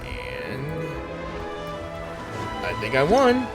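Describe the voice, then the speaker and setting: A man's voice: medium pitch, wildly swooping pitch, extremely slow. A man giving casual gameplay commentary and reading game text aloud as he plays. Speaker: gaming video creator. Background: game music.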